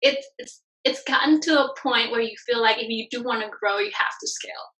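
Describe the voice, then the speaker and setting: A woman from Bali, Indonesia, in her thirties, telling her story as a guest in a casual podcast interview. She talks in an animated way and laughs often. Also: fairly high pitch, brisk, poor audio. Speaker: guest, branding expert.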